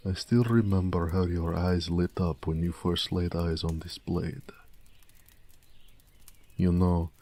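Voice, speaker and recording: A man playing a proud, gentle orc blacksmith in an intimate, affectionate audio roleplay, speaking tenderly and modestly. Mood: tender, nostalgic. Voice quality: deep, mellow.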